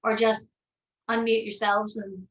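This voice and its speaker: A woman moderating a live-streamed panel, chatty and filling pauses as she talks. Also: medium pitch, level tone. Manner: chatty, many fillers. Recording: dull, echoey, distant audio.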